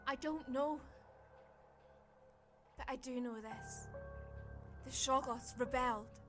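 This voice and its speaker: An actress playing a grave, awed woman professor and explorer in a formal, deliberate 1930s-style radio horror drama. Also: sits high, over music. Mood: alarmed, grim. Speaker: actor in an audio drama.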